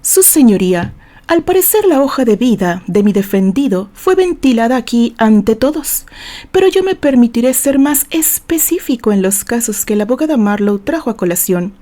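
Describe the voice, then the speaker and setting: An assured defense attorney read by a woman addresses the court in a soft, mellow tone that sits fairly high. The delivery is formal and rhetorical, with pointed emphasis, in the manner of a dramatized novel. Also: lively intonation, normal speed, close to an everyday voice.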